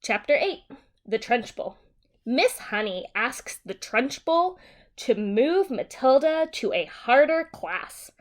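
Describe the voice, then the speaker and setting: A woman with a fairly high voice reads a children's story aloud as a teacher. Her delivery is very lively in pitch and notably slow, with simple, deliberate explanations meant for young listeners.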